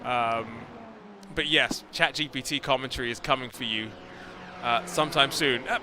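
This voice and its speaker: A man commentating a sim race, chatting and joking with his co-commentator. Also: mid-range voice. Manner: chatty, joking, quick-witted. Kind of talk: live race commentary chat. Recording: noisy commentary mic.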